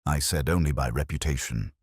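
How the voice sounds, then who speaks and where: A man narrating a literary detective story in the first person, in a measured, brooding and formal manner with a husky voice. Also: extremely low pitch, no echo, studio quality.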